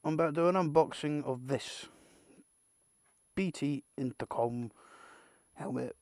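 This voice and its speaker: A man's voice: a little high, measured pace, a little mellow, dry recording, clean studio sound. A British man giving a casual, unscripted product unboxing, rambling and muttering asides to himself with the odd mild swear. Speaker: amateur video creator presenting an unboxing.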